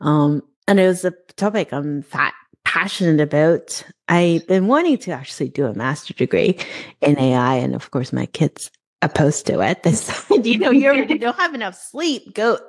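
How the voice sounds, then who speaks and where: A middle-aged woman with a fairly low voice hosts a conversational podcast. She sounds earnest and reflective, pausing to find her words as she chats with a guest. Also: very animated, slightly rough. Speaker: podcast host. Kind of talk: casual conversational interview.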